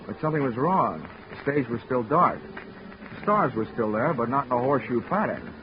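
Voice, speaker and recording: A man's voice: medium pitch, animated, normal speed, background noise, lightly acted. A man playing a wisecracking, hard-boiled private eye narrating a 1940s radio drama. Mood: dreamy. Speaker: detective narrator. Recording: muffled old radio recording with music.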